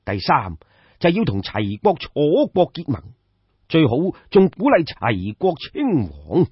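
A man with a Cantonese accent voicing a composed, shrewd court adviser of ancient China, measured and deferential, in an audio story. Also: mid-range voice, huge pitch swings, breathy edge, no room sound, muffled recording, heavily acted. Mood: confident, persuasive.

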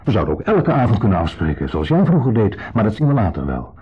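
A low, insinuating, husky ex-husband. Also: male voice, huge pitch swings, quick pace, full character voice. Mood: smooth, insinuating. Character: shabby, insinuating ex-husband, quietly menacing and self-pitying. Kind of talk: 1970s radio drama acting. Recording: dull 1970s radio play recording.

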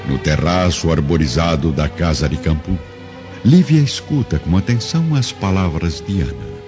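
A man's voice: sits low, expressive, normal speed. A man narrating a radio drama in a scripted, solemn, literary style. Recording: muffled lo-fi recording under music.

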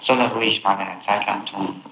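A noisy archive tape from a very echoey room carries a man's voice of middling pitch. He questions like a prosecutor, haltingly, tacking little questions onto his statements. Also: steady tone, lo-fi sound. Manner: halting, seeking agreement with tag questions. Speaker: public prosecutor. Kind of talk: spontaneous questioning.

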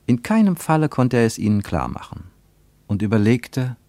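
A man narrating a literary radio drama in a measured, deliberate way. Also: mid-range voice, very animated, dark tone, no echo.

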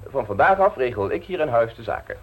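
An actor playing a suave, insolent blackmailer in a radio play, mockingly polite and smoothly domineering. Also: male voice, mid-range voice, sing-song, quick pace, muffled recording. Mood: smug, commanding. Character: suave, insolent male blackmailer installing himself as private secretary.

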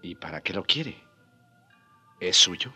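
Animated, fatherly old priest. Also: sits low, takes it slowly, slightly rough, soft background music, exaggerated voice. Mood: skeptical. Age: older. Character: compassionate, inquisitive old Spanish priest, emotional and humble. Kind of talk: radio drama acting.

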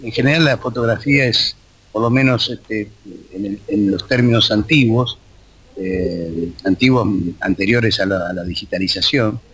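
Man, husky low voice, hesitant phrasing, casual interview guest. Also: moderate pace, a bit bright, low-quality recording.

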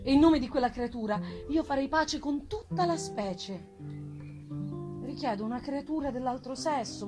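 A woman's voice: a little high, close to an everyday voice. A woman voicing a wretched, vengeful male monster, pleading eloquently in a solemn, theatrical gothic reading. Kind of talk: dramatic gothic novel reading. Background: live plucked guitar music.

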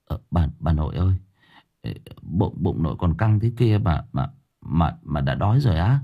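A man's voice: medium pitch, very animated, moderate pace, lo-fi sound. A man voicing a bewildered young grandson who stammers hesitantly.

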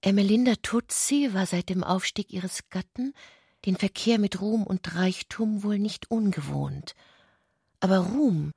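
A woman's voice: mid-range voice, creaky, a little mellow, slightly rough, dry recording, clean studio sound. A woman reading literary prose as the narrator of a radio drama, in a scripted literary style.

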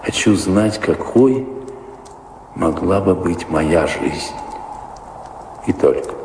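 An actor playing a weary, wistful middle-aged baron in a radio play, brooding and reflective in measured phrases. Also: male voice, low-pitched, animated, gravelly, darkish tone, a little hiss or hum, full character voice. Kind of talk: Russian radio play acting. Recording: echoey reverberant studio drama with prominent music.

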